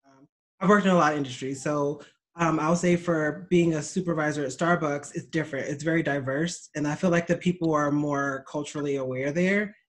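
A man in a casual group discussion, speaking in a measured, hesitant way with fillers. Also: on the high side, fairly even intonation. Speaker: discussion participant.

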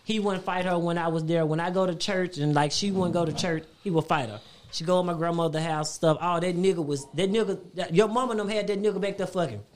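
A man with a high-pitched voice, a guest on a casual podcast, rambling and repeating himself as he tells personal stories. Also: fast talker.

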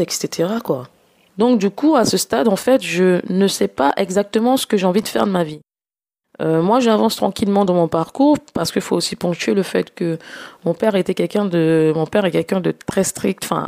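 A woman's voice: fairly low pitch, warm tone. A young woman from Gabon, a podcast guest, telling her career story in a hesitant way with many fillers and restarts. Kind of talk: casual personal storytelling.

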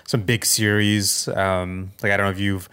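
A man's voice: low voice, little pitch movement, normal speed, bright, forceful tone, clean tone. A man co-hosting a podcast, chatting informally with hesitant, loosely built sentences. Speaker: podcast co-host. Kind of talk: casual podcast chat.

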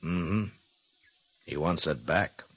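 An actor playing a calm, authoritative frontier marshal with a laconic, measured manner in 1950s radio western style. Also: male voice, a bass voice, slightly rough, no room sound, heavily acted. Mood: calm, firm. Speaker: lawman lead in a western drama. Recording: dull, noisy old radio recording.

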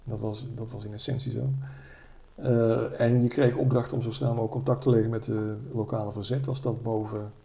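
A man's voice: mid-range voice, flat delivery, brisk, vocal fry, warm tone. A man explaining in a spontaneous interview, speaking as an expert.

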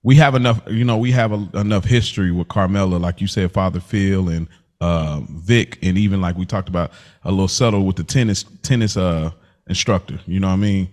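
A man's voice: sits low, fairly quick, a little mellow. A man co-hosting a TV recap podcast in casual, loose, slangy conversation. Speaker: podcast co-host.

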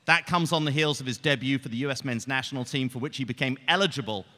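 British guy hosting a live soccer show, really bright punchy voice, big hall echo. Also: medium pitch, quick pace. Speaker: co-host of a live football show. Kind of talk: playful live stage hosting. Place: on stage in a large theater. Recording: reverberant theater hall.

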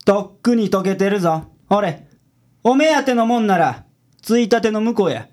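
A woman's voice: medium pitch, expressive, normal speed, a little mellow, lightly acted. A woman playing a blunt, self-assured male detective with a Kansai accent in a radio drama. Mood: cocky. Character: gruff, cocky, unflappable male private detective.

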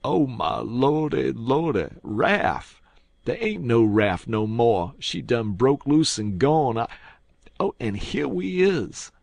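A man's voice: medium pitch, big pitch swings, slow, vocal fry, darkish tone, dry recording, voice pushed up, heavily acted. A man voicing a frightened adult male runaway in an audiobook, moaning and wailing in rustic dialect. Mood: panicked. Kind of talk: audiobook character acting.

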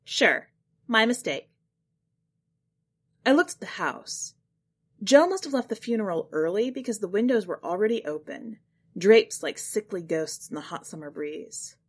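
A woman reads literary fiction as a narrator. Her voice sits at a medium pitch but swings widely, giving the scripted prose expressive, dramatic phrasing. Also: normal speed, slightly rough. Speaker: podcast host and fiction narrator.

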